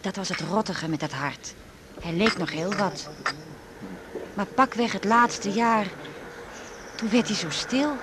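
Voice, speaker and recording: An actress playing a wistful middle-aged widow, speaking hesitantly and reflectively in a naturalistic radio drama. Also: female voice, mid-range voice, unhurried, pauses often, noisy background, close to an everyday voice. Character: wistful widow with a grown son.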